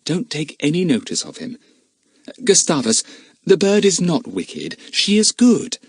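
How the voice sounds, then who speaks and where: A man playing a firm, motherly aunt with a raised, lively voice. She speaks briskly and sensibly, keeping order among the children, in a dry recording. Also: on the high side, breathy edge, no echo, voice pushed up, full character voice. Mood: soothing.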